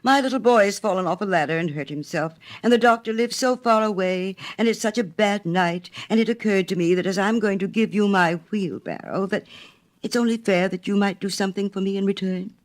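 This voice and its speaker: A woman's voice: medium pitch, a little fast, pitched above the performer's own voice, lightly acted. A woman playing a smug, self-righteous male miller in a storybook reading, pompous and wheedling.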